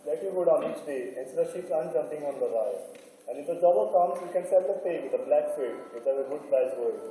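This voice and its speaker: A man's voice: fairly high pitch, expressive, fast, dark tone, natural voice. A man playing a determined, stubborn young island son in a stage play. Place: stage in a hall, distant microphone. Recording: very echoey hall, far mic, noisy.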